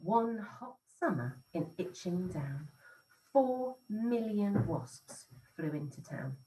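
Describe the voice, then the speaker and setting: A woman teacher reading a rhyming picture book aloud in an expressive, sing-song storytelling way. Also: medium pitch, slow, distant mic, a little hiss or hum, low-quality recording.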